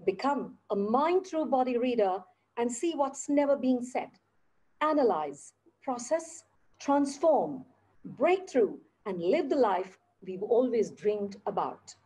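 A woman's voice: a little high, sing-song, slow pace, warm tone, husky, rough recording. A woman hosting an event, reading a formal introduction of a speaker at a podium. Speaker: event host. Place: stage with podium.

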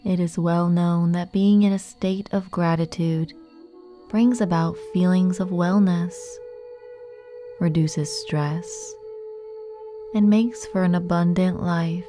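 A woman leading a guided meditation in a gentle, soothing, unhurried manner with long pauses. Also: on the low side, fairly even intonation, soft background music.

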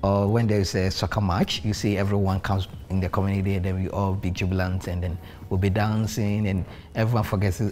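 A man's voice: deep voice, darkish tone. A young man from Ghana, talking earnestly in a seated group conversation. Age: young adult. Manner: earnest, speaks with pride. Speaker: panel guest. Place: bright studio with plants, indoors.

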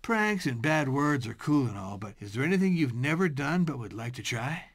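A woman voicing a cocky, mischievous school boy in an animated series, with a sardonic, teasing manner. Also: very deep voice, moderate pace, husky, exaggerated voice. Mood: challenging.

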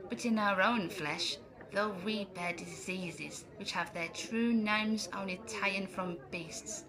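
A sardonic, morbid tavern philosopher played by a woman declaims old stage prose. Her medium-pitched voice is heard among busy crowd chatter. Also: close to an everyday voice, rough recording. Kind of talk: Jacobean stage monologue in audio drama.

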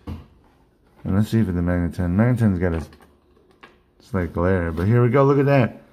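A man talks loosely through an unboxing at his desk, restarting sentences as he goes. His voice sits a little low and is worn, as if he is losing it. He sounds like a hobby channel host chatting to his viewers. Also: normal speed, dark tone. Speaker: card collecting channel host. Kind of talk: casual unboxing vlog. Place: indoor collection room at a desk.

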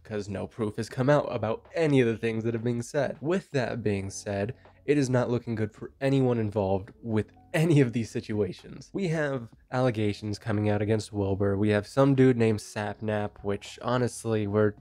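A man's voice: fairly low pitch, moderate pace, hardly any pauses, warm tone, no room sound. A young man in his twenties with a nasal voice from a cold, giving blunt, casual commentary into a handheld mic in a small bedroom. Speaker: commentary YouTuber. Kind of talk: drama commentary. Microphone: handheld microphone.

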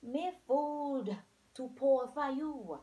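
A woman's voice: high voice, extremely slow, dark tone. A woman voicing a fat, secretive village man who dodges questions with a chuckle. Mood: evasive. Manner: sly, evasive, chuckling.

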